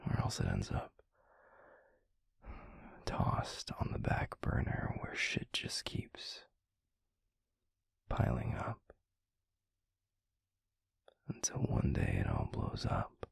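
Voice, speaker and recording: A man playing a tender, protective werewolf boyfriend in an intimate ASMR roleplay, speaking in a breathy, gravelly, soothing whisper. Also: a bass voice, animated, dry recording. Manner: soothing, slow, gently coaxing. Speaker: audio roleplay voice actor.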